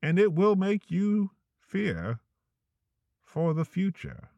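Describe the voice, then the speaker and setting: A man narrating in a dramatic, spooky storyteller manner, a deadpan horror parody. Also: takes it slowly, gravelly, highs cut off. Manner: dramatic, spooky storyteller delivery with swooping pitch. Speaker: narrator and host.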